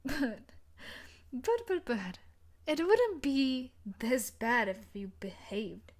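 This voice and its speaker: Woman acting an obsessive scientist, slow and menacing. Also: high voice, big pitch swings, heavily acted. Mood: quietly menacing. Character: obsessive possessive young woman scientist, menacing yet pleading. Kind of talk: audio roleplay acting addressed to the listener.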